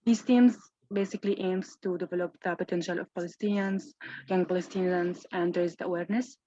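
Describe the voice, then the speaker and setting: A woman's voice: fairly low pitch, fairly even intonation, dark tone, dry recording. A young woman from Gaza, a literature student, speaking on an online panel with many restarts. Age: young adult. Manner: halting, frequent restarts. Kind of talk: online event talk. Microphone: video call. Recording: dull, dry video call audio.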